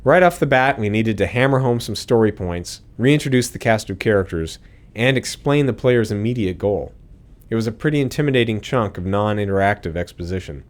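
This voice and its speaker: Lively-pitched man giving developer commentary. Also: a little fast. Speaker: game developer.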